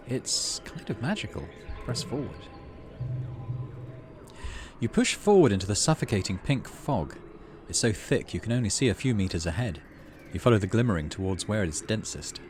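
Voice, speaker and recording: Low-voiced man narrating a game, lively intonation. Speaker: gaming channel host. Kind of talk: casual game playthrough, reading on-screen text aloud. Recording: noticeable background noise.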